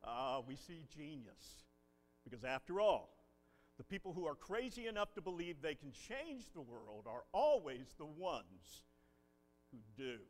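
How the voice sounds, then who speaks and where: A man, a visiting preacher leading a Bible class and prayer in a folksy, animated way. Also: fairly high pitch, a little slow. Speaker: guest preacher. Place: church building.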